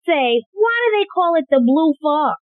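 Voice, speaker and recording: Thin, phone-like audio with no room sound. An actress plays an anxious young woman, a police secretary in an audio drama, eager and urgent in an extremely high voice. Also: very animated, normal speed, no echo. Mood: puzzled. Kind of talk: audio play acting.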